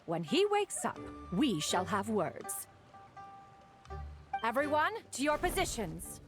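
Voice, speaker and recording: Dignified haughty immortal, formal archaic diction, expressive intonation, game acting, faint music behind. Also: female voice, fairly high pitch. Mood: stern. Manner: formal, archaic, measured, calls self "one". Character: dignified, haughty female adeptus, composed and formal. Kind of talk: video game character acting.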